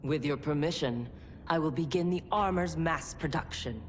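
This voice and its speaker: A woman's voice: deep voice, normal speed, slight background noise, close to an everyday voice. A woman playing a calculating clan armorer, reverberant. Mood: cold. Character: cold ambitious female clan armorer. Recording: reverberant hall.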